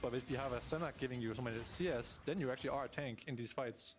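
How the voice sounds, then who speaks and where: A man's voice: mid-range voice, very fast. A man giving analytical color commentary on an esports broadcast, explaining the game. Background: music.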